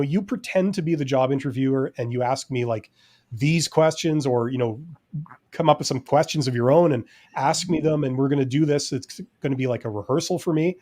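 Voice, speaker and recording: A man co-hosting a casual live-stream chat, talking in a relaxed, rambling way with many fillers. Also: mid-range voice. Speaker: podcast co-host.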